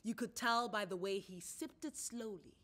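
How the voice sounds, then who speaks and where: A woman with a South African accent reading a short story in an expressive, theatrical way. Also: medium pitch, normal speed. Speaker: story reader. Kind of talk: dramatic short story narration.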